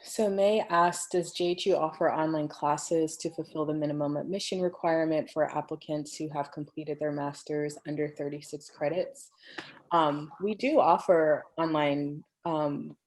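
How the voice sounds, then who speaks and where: Low-voiced woman answering webinar questions. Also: steady tone, poor audio. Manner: frequent ums, measured explanatory. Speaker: program faculty answering questions. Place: home office on a video call. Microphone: computer microphone.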